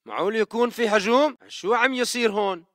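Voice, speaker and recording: A man's voice: high-pitched, fast talker, no room sound, clean studio sound, close to an everyday voice. A man playing a restless, worried militant guard with a Levantine Arabic accent in video game chatter.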